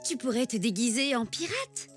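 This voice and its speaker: High, lively mother zebra. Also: moderate pace, soft background music. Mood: encouraging. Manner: encouraging, gentle. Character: caring, encouraging young mother zebra. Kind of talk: animated children's series dub.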